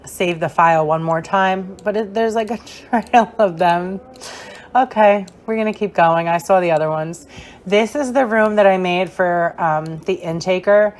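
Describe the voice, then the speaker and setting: A young woman with a hoarse, fading voice, giving a chatty, rambling gameplay tour voice-over with many fillers. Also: fairly low pitch, a little mellow. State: hoarse, losing her voice. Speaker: gaming creator.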